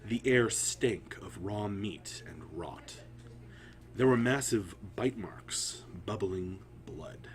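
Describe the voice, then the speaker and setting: A man hosting a storytelling livestream, speaking haltingly with frequent pauses. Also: lowish voice. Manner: halting, pausing often. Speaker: writer, editor and stream host. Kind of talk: casual livestream chat and story reading. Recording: audible background hum and noise.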